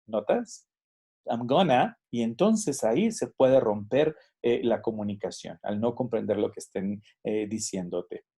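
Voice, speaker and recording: A man's voice: on the low side, lively intonation, a little slow, warm tone, husky. A man giving a recorded lesson, explaining and demonstrating English pronunciation examples. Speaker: teacher. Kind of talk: recorded lecture.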